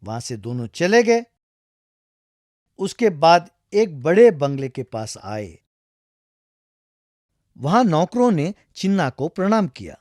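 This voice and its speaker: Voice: medium pitch, very animated, slow, pauses often, darkish tone, no echo, studio quality. A storyteller narrating a scripted tale for children.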